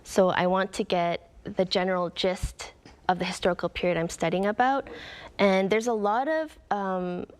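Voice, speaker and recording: A woman's voice: mid-range voice, moderate pace. A young woman author from Canada giving earnest, reflective interview answers, with frequent "um" and "like". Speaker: author guest.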